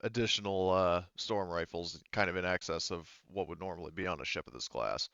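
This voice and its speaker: A man acting as game master, narrating a tabletop game casually with a halting delivery. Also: deep voice, vocal fry, pushed and bright, dry recording, dull audio.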